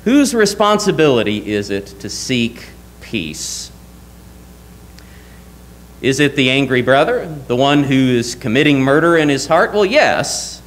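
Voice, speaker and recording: A man's voice: medium pitch, a little hiss or hum, rough recording. A young man preaching a sermon from a church pulpit, earnest and emphatic, often asking rhetorical questions. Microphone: lectern mic, wide camera.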